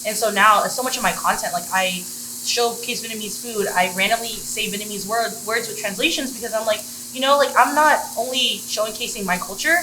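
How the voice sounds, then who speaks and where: A woman's voice: a little high, brisk. A young American woman telling personal stories casually and earnestly, peppering her sentences with "like". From Middleburg, Florida, United States. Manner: earnest, heavy use of "like". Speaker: guest, social media content creator. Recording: roomy, noisy room with sizzling food.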